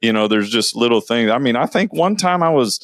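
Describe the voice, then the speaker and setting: A middle-aged man, co-hosting a casual family talk show in a folksy, halting, self-deprecating way. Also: mid-range voice, animated, no echo, clean studio sound. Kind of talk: casual conversational chat.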